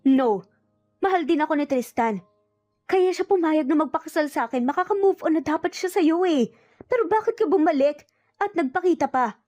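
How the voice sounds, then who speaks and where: Haughty, jealous young heiress, played by a female narrator, high-voiced and confrontational, for romance audio drama. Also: lively intonation, moderate pace, dark tone, faint music behind. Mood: angry, indignant. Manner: demanding, indignant, confrontational. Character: haughty, angry rich young woman, jealous rival.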